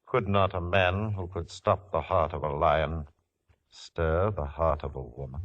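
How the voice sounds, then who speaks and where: A man's voice: low-pitched, fairly even intonation, measured pace, dull audio. An actor playing an imperious, scornful ancient king, speaking in a stately and formal way in a 1950s radio drama. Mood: measured, tender. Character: imperious, scornful middle-aged Philistine king.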